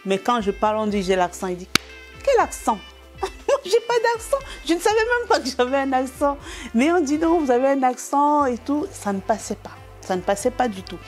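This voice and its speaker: A middle-aged woman from Cameroon with a Cameroonian French accent, a comedian telling anecdotes in an interview, animated and laughing often between restarts. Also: sits high, moderate pace, soft background music.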